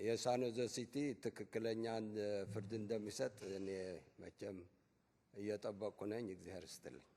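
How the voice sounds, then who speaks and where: A man speaking slowly and hesitantly at a city council public comment, with many fillers. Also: on the low side, steady tone, gravelly, mellow. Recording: echoey council chamber.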